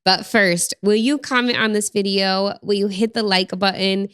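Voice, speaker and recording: A woman's voice: mid-range voice, fairly even intonation, moderate pace, a bit bright, smooth, dry recording, clean studio sound. A woman in her thirties co-hosting a podcast, talking in an upbeat, chatty way and laughing easily. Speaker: podcast co-host. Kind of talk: casual chat.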